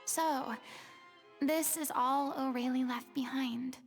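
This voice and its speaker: An actress voicing a young, earnest, compassionate woman investigator in a video game, speaking softly and half-whispering. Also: sits high, steady tone, slow pace, soft background music. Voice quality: soft, breathy, partly whispered. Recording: game audio with long reverb.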